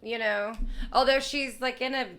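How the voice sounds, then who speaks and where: A woman's voice: sits high, fairly even intonation, normal speed, a bit bright. A woman co-hosting a podcast, chatting informally about movies with plenty of filler words. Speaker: podcast co-host. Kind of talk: casual movie review chat.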